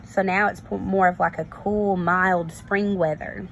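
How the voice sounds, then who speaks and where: A woman, a kindergarten teacher, reading a storybook aloud and pausing to explain it simply to young children. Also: on the low side, fairly even intonation, gravelly, fairly bright tone, a little hiss or hum, rough recording.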